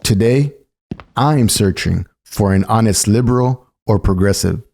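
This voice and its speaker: Mellow, low-voiced man narrating. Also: unhurried, vocal fry, a little hiss or hum. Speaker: narrator reading written political commentary. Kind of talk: scripted narration, polemical essay read aloud.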